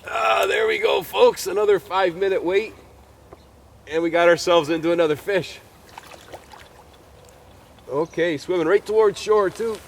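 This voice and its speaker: A man's voice: high-pitched, a little slow, gravelly, long pauses, slight background noise. Folksy man with a stuffy cold. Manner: chatty and folksy, lots of "uh" and "folks". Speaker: fishing show host. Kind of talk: casual outdoor how-to talk to camera. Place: outdoors on a creek shoreline.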